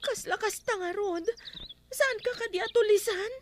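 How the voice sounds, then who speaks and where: A very high, frightened young woman, played by an actress in a Filipino radio comedy drama. Clear noise and birdsong sit behind the voice. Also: moderate pace. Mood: alarmed.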